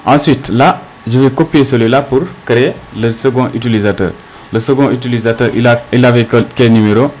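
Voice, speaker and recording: A man teaching an unscripted tech tutorial step by step, haltingly, often asking questions and answering them himself. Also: mid-range voice, fairly even intonation, darkish tone, a little hiss or hum.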